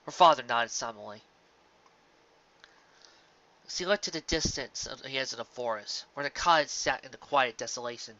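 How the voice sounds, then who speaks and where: Bright-voiced man narrating fiction dramatically. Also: mid-range voice, normal speed, long pauses, highs cut off.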